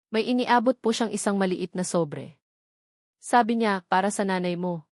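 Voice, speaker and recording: A woman's voice: medium pitch, dry recording, natural voice. Woman as timid young maid, humble, hesitant, audio drama, dry close sound. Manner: hesitant, deferential. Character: timid, fearful young housemaid, humble and respectful.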